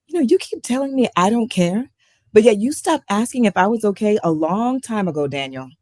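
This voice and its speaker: A woman's voice: mid-range voice, animated, brisk, a little mellow, no room sound, clean studio sound, lightly acted. A woman reading the part of a weary, guarded wife in a failing marriage at a drama table read. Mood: hurt, accusing.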